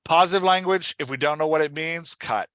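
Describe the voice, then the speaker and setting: A man with a bright, forceful voice talks someone through their work like a hands-on coach. His delivery is casual but direct, and he keeps checking for agreement. The sound is close and dry, with very little room. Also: on the high side, a little fast, clear, resonant tone, dry recording. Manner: direct, instructive, often checks understanding. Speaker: podcast host coaching an author on dialogue. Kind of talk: casual one-on-one coaching talk.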